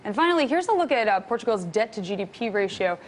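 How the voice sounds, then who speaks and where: A woman's voice: mid-range voice, sing-song, fast talker, slight background noise. A woman hosting a TV business segment, upbeat and chatty, reading her intro and asking her guest questions. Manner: upbeat, chatty, stumbles over names. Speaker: TV show host.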